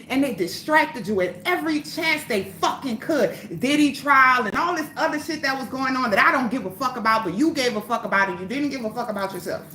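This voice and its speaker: Woman, livestream host, heated profane rant, high voice, echoey room. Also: a bit bright, slight background noise.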